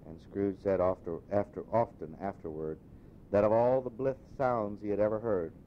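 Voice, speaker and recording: A man reading a classic story aloud as an expressive audiobook narrator. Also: normal speed. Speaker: audiobook reader. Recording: dull, noisy recording with low rumble.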